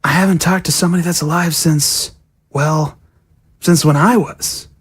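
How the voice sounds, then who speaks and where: A woman playing a youthful zombie prisoner, frank and low-key, talking casually in an audio drama. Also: fairly even intonation, normal speed, husky. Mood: matter-of-fact. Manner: casual, matter-of-fact.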